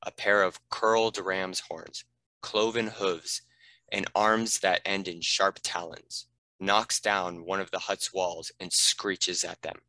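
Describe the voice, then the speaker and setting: A man's voice: low voice, steady tone, slow, sharp tone, dry recording, rough recording. A man reading scripted narration and stage directions for a fantasy script read.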